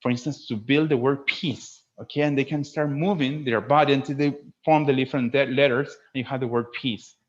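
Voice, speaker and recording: A man's voice: mid-range voice, fairly even intonation, fairly quick, hardly any pauses. Man presenting a lesson through dull audio. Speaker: teacher trainer presenting a webinar. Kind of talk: informal instructional presentation with acted demonstrations.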